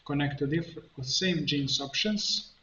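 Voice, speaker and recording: A man narrating a step-by-step software tutorial, thinking aloud in a halting way as he works. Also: fairly high pitch. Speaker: tutorial instructor, coach. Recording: muffled, roomy, faint noise, keyboard clicks. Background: computer keyboard typing and clicking.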